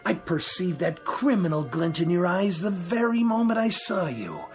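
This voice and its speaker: Radio drama role: pompous sneering shop boss, fairly high voice, swooping intonation, haughty sarcasm. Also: male voice, sing-song, normal speed, faint music behind. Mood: smug. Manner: haughty, sarcastic, ornate phrasing. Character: pompous, sneering, accusatory male store owner. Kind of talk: vintage-style radio drama acting.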